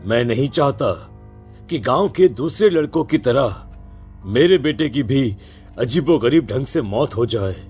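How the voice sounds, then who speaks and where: A man's voice: mid-range voice, expressive, unhurried, darkish tone, husky, soft background music. A man playing an anxious, protective village headman and father, speaking earnestly and hesitantly in a dramatized story. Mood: grave, worried. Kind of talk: Hindi audio story acting.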